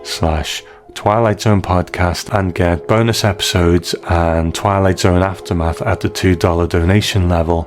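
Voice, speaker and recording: A man with a low voice hosts a podcast in a conversational way. He speaks appreciatively and directly to his listeners. Soft music plays behind him. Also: fairly even intonation, a little slow. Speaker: podcast host. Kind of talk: podcast listener feedback and sign-off.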